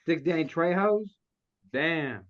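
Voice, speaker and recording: A man's voice: a little high, lively intonation, slow pace. Man acting a hot-headed action hero, slightly dull sound. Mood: cocky, defiant. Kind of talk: virtual table read of a comedy script.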